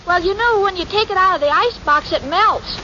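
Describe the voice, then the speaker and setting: A woman's voice: extremely high pitch, brisk, pushed and bright. A woman playing a sweet, scatterbrained young roommate in 1940s radio sitcom style, hesitant and naively earnest. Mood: innocently earnest. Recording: muffled, noisy 1940s radio recording.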